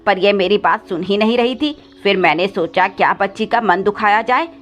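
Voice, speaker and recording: A woman's voice: medium pitch, normal speed, close to an everyday voice. Woman as a sly, cruel crow stepfather. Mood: falsely sweet. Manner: scolding, sly. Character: cruel, scheming, wheedling male crow stepfather. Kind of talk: cartoon moral story voice acting. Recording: slightly dull audio with background music.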